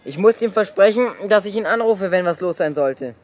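A woman playing a resourceful, confident young boy who leads a gang in a children's radio play, speaking briskly. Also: mid-range voice, lively intonation, darkish tone, natural voice. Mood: decisive. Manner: brisk, commanding, decisive.

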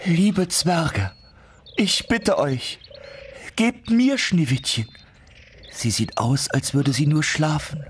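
Young storybook prince: earnest, gentle, lovestruck, unhurried delivery, much lower than the queen. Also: expressive, slight background noise. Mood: pleading. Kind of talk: fairy-tale radio play for children.

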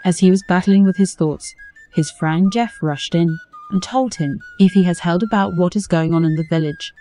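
A woman's voice: fairly low pitch, normal speed, warm tone. A woman narrating a scripted folktale as a storyteller. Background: music under the voice.

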